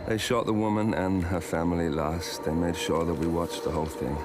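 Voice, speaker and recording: An actor playing a grave, haunted rebel sniper in a video game, speaking in a measured, solemn way. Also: male voice, low voice, fairly even intonation, close to an everyday voice. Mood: somber, bitter. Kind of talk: scripted video game cutscene acting. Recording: loud background music.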